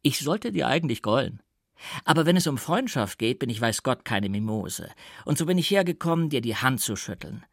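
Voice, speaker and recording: Male reader as young gentleman, hearty, chatty, mock-annoyed, storybook character, dry recording. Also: medium pitch, very animated, fairly quick, creaky, no room sound. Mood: jovial reproach. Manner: hearty, half annoyed, half joking. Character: breezy, jovial young gentleman friend, chattering and teasing. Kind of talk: audiobook character voice.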